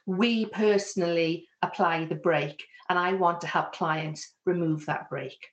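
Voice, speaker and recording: A woman's voice: lowish voice, steady tone, measured pace, highs cut off. A woman with a Welsh accent, a business coach answering interview questions in an earnest, reflective way. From Wales. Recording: echoey room.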